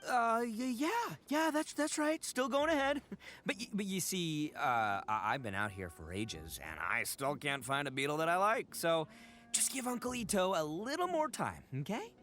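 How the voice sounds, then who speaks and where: An actor playing a loud, big-hearted oni gang boss who blusters, laughs a lot and stammers when caught out. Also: male voice, sits high, big pitch swings, moderate pace. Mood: flustered. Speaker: game character. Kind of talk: video game voice acting. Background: faint game music.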